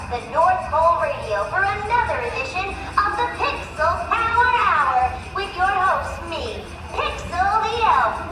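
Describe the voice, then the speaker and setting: A woman's voice: very high-pitched, full character voice. A woman playing a perky, merry North Pole elf who hosts a radio show with exaggerated announcer cheer. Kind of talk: scripted comic radio broadcast. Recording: echoey, distant, music behind.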